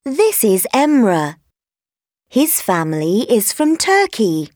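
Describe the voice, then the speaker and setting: A woman reading a language textbook recording aloud, clear and deliberate for learners. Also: fairly high pitch, very animated, darkish tone, no room sound, clean studio sound. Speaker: textbook audio reader. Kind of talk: slow scripted reading for learners.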